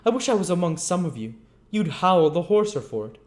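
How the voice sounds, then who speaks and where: A man's voice: high-pitched, very animated, fairly quick, a little mellow, pitched above the performer's own voice. A man doing a snarling brutal robber. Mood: ferocious. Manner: growling, snarling curses. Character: ferocious brutal male robber.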